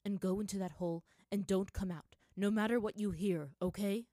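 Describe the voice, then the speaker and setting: Woman doing a stern father, firm commands, louder than the narration, dry close sound, storybook reading. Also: mid-range voice, normal speed, dry recording, close to an everyday voice. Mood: stern urgent. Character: stern, worried father of a young girl.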